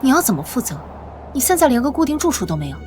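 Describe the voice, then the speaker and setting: An actress voicing a brisk, concerned young female lieutenant in a motion-comic drama, earnest and direct. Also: sits high, sing-song, darkish tone, faint music behind. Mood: concerned, serious.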